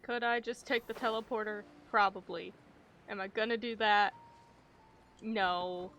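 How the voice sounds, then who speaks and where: A woman's voice: medium pitch, steady tone, a little slow, fairly bright tone, a little hiss or hum, low-quality recording. A woman game streamer reacting casually and expressively in an informal live commentary.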